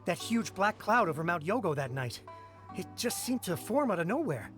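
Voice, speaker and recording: Higher-voiced wondering townsman. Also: sing-song, moderate pace, over music. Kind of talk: video game voice acting.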